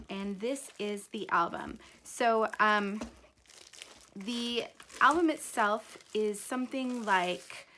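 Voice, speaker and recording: A woman narrates a craft unboxing in a casual, chatty way. Her voice sits at a middle pitch and has not fully recovered from a cold. She talks as the host of her own video. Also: fairly bright tone.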